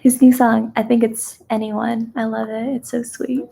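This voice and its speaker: A young woman born in China, whose first language is Kazakh, hosting a live ASMR question-and-answer chat in a gentle, soft-spoken way that slips into whispers. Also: a little high, flat delivery, normal speed, creaky, slightly rough. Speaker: ASMR host.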